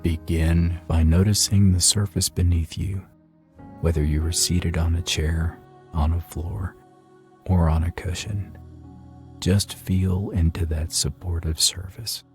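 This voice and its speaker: A man leading a scripted guided meditation in a soothing, unhurried manner with long pauses. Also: deep voice, flat delivery. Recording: soft music behind the voice. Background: faint meditation music.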